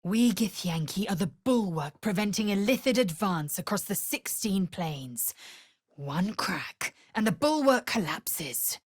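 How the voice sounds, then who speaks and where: A woman voicing a fierce, proud alien warrior woman in a video game, scornful and haughty, with clipped, formal phrasing and a husky, breathy voice. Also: medium pitch, lively intonation, a little slow, no room sound, studio quality. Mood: stern, imperious. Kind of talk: video game character voice lines.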